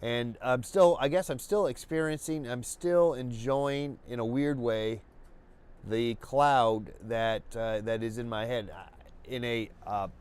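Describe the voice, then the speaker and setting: A man hosting a live painting webcast, congested and stuffy, talking in a slow, rambling way with many restarts and fillers. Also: mid-range voice, fairly even intonation, a little mellow, clean tone. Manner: halting, many restarts and fillers, laid-back. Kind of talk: rambling live-stream chat.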